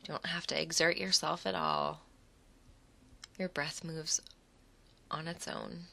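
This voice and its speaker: A woman leading a guided imagery meditation in a soothing, unhurried manner, speaking in short phrases with pauses between them. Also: low-pitched, steady tone, creaky, bright, forceful tone. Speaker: guided relaxation narrator.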